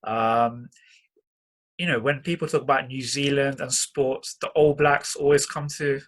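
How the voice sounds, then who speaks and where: A man's voice: mid-range voice, steady tone, a little slow, fairly bright tone. A man hosting an interview, asking questions in a friendly, conversational way. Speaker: interview host.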